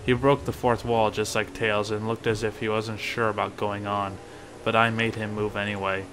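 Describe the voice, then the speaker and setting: A man's voice: lowish voice, level tone, moderate pace, noisy background. A man reading a first-person horror story as a creepypasta narrator. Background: music.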